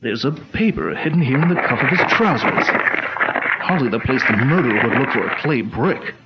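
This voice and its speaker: An actor playing a calm, authoritative detective chief, speaking in a measured, deliberate way in a 1940s-style radio drama. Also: male voice, mid-range voice, heavy background noise, lightly acted. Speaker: lead detective. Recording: dull lo-fi old radio recording.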